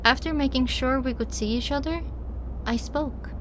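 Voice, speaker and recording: A woman's voice: high-pitched, moderate pace. A woman narrating a scripted first-person story as an audiobook reader. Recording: clearly noisy background.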